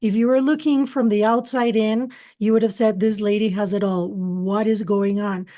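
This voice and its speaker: A woman's voice: mid-range voice, fairly even intonation, moderate pace, warm tone. A middle-aged woman from Costa Rica whose first language is Spanish, telling her life story earnestly as an interview guest. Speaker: guest, spiritual channeler. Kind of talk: interview answers, personal story.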